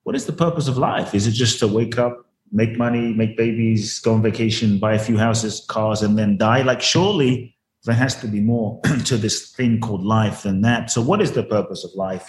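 A man's voice: lowish voice, echoey room, slight background noise. A man from Ghana, a spiritual teacher telling his life story earnestly in an interview over a video call, repeating words for emphasis.

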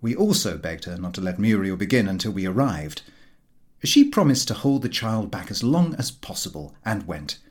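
A man narrating a humorous period novel as an expressive audiobook reading. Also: low-pitched, normal speed, slightly rough.

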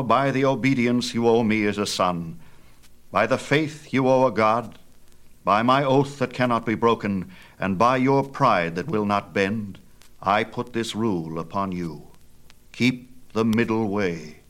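A man's voice: fairly low pitch, unhurried, a bit bright. A man voicing a proud, mighty father god in a myth tale, imperious, theatrical and emphatic. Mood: solemn, commanding. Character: proud, mighty sun god father, booming and quick-tempered. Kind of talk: dramatized myth storytelling.

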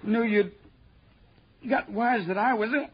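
Dying american kid, high weak gasping voice, super halting, old radio audio. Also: male voice, husky, full character voice. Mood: pained, fading. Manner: halting, broken phrases. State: gasping, badly hurt, weak. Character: dying young delivery man, weakly confessing. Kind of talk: 1960s radio drama acting. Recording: dull, faintly noisy old radio recording.

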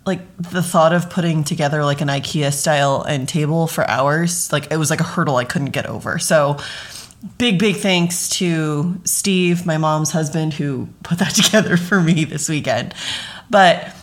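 A woman's voice: sits low, moderate pace. A woman in her thirties hosting her own podcast, talking in a casual, chatty way with lots of "like" fillers. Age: 30s. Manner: chatty, friendly, frequent "like" fillers. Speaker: podcast host. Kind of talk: casual solo podcast chat.

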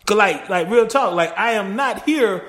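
A man guesting on a podcast, telling stories in a loud, animated way full of street slang and acted-out voices. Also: sits high, moderate pace, roomy sound. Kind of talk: casual podcast chat.

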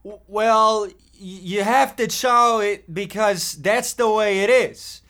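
A man playing a bossy, shifty older brother, a Depression-era boy, halting and mock-folksy in a comic radio play. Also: high voice, fairly bright tone. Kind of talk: amateur comic old-time radio acting.

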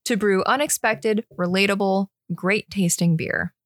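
A woman's voice: medium pitch, a little slow, no echo, clean studio sound. Giggly woman reading beer facts. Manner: giggly, reads brewery facts. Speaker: podcast co-host. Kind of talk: casual beer-tasting chat.

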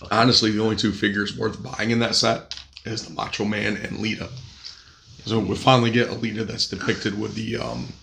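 A man hosting a podcast with crude jokes, his voice raspy from a cold. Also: on the low side, little pitch movement, a little fast, a bit bright, a little hiss or hum. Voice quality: gravelly. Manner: crude, joking, upbeat. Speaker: podcast host. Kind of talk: casual podcast chat.

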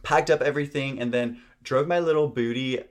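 A man from South Georgia talks casually on a podcast. He is upbeat and teasing as he recounts his holidays. His pitch sits in the middle. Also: fairly quick. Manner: upbeat, teasing, laughs often. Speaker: podcast co-host. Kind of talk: casual chat.

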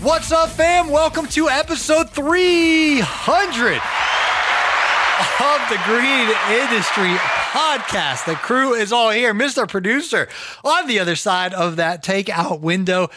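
A hoarse man hosting a podcast, chatting energetically and telling rambling stories. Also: very high-pitched, animated, takes it slowly, over loud music, over a noisy crowd. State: hoarse from recording. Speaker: podcast host. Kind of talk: casual podcast chat.

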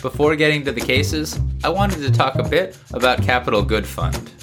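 A man's voice: medium pitch, lively intonation, normal speed, over music. Man with a cold hosting a legal podcast. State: has a cold, slightly nasal. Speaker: podcast host and immigration lawyer. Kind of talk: scripted legal podcast narration with ad reads.

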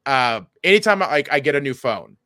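A man's voice: mid-range voice, very animated, fairly quick, sharp tone, no room sound, studio quality. A man chatting casually and comedically as a podcast co-host, rambling and often interrupting himself, with some swearing. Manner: rambling, self-interrupting, profane.

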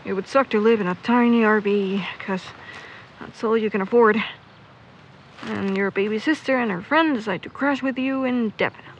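A woman plays a grumbling young searcher in an audio drama, talking candidly to herself. Her voice is mid-pitched and wry. The recording is muffled, with clear ambient noise around her. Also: moderate pace, natural voice. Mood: grumbling, musing. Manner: candid, wry, musing aloud. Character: stubborn, grumbling young searcher out on wintry trails. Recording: muffled, noisy outdoor-style ambience.

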